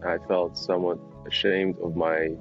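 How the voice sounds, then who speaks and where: A man from Ukraine gives personal testimony in an extremely deep voice. His pitch stays flat and his phrasing is measured and halting. Music plays clearly behind him. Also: dull audio. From Kyiv, Ukraine. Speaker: corporate attorney.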